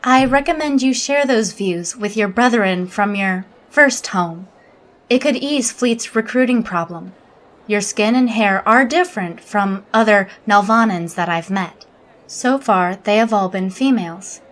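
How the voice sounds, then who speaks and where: A woman playing a logical alien officer in an audio drama, interviewing in a formal, measured, reserved manner. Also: mid-range voice, lightly acted. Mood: detached, curious.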